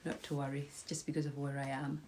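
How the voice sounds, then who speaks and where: A woman's voice: extremely low pitch, little pitch movement, quick pace, a little mellow, slight background noise. A woman hosting a casual live stream, chatting to viewers and encouraging them, with a slight cold in her voice. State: has a cold. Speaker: host.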